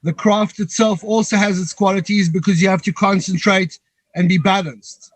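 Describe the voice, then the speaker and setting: Guy, middle-aged, kinda high voice, stops and starts a lot thinking it over. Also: steady tone, dry recording. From South Africa. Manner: halting and reflective, pausing often to find words. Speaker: guest, co-founder of a veterans paddling program. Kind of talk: interview answer.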